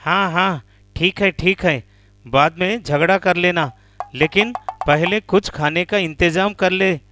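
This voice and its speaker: A man voicing a clever, caring deer in an animal fable, speaking in a calm, advising manner. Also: sits high, measured pace, faint music behind. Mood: patient, advising.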